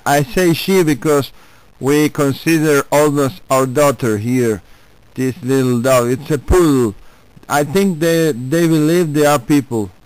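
A man's voice: on the high side, smooth, dry recording, rough recording. A man from Argentina with an Argentine Spanish accent, chatting casually and amiably as an amateur radio operator. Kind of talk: casual chat over ham radio.

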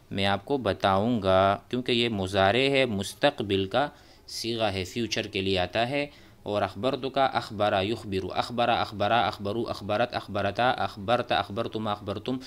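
Slow, patient male Arabic teacher. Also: on the low side, fairly bright tone. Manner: repeats Arabic phrases, then explains them in Urdu. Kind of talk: slow explanatory lesson. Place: voice-over on a screen-recorded whiteboard.

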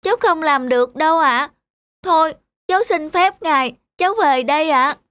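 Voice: sits high, moderate pace, fairly bright tone, no room sound. A performer voicing a humble, polite young shoeshine boy in a cartoon, earnest and timid. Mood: timid, disbelieving. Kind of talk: animated moral tale dialogue. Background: background music.

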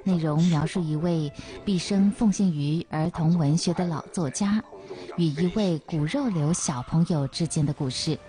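A woman narrates a documentary in Taiwanese Mandarin. Her voice sits fairly low, and music plays clearly beneath the scripted read. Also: normal speed, dark tone. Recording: music under voice-over.

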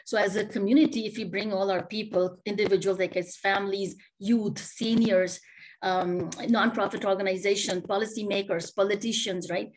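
A middle-aged woman speaks as a panelist over a video call from a home office. She talks earnestly, piles up rhetorical questions and often starts her sentences over. Her voice sits at an everyday middle pitch. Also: steady tone, moderate pace, background noise. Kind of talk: panel discussion remarks.

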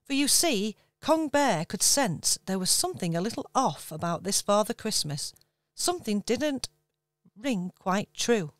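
Woman narrating a children's story, smooth voice. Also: mid-range voice, sing-song, no echo. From England. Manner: gentle, measured storytelling.

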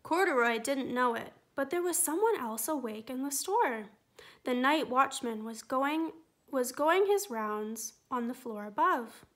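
Woman, children's book reading, high voice, bright, gentle. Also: lively intonation, a little slow. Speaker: storytime reader. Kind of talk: picture-book read-aloud.

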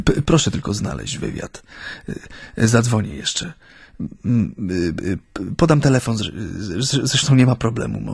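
A man's voice: on the low side, vocal fry, husky, no echo, full character voice. An actor playing an ailing, middle-aged Japanese man settled in Poland, courteous and reflective, speaking hesitantly in a radio drama. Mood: unwell, apologetic. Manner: halting, hesitant, searching for words. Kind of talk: naturalistic radio drama acting.

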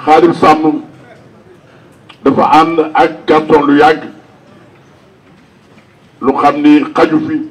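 A man's voice: fairly high pitch, level tone, slow, long pauses, recorded from a distance, low-quality recording. A Senegalese man acting as master of ceremonies at an outdoor gathering, introducing a speaker.

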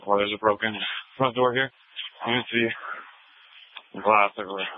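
A man's voice: on the low side, fairly even intonation, unhurried, no room sound. A man, a police officer, giving terse procedural reports over a police radio. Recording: phone-like police scanner radio.

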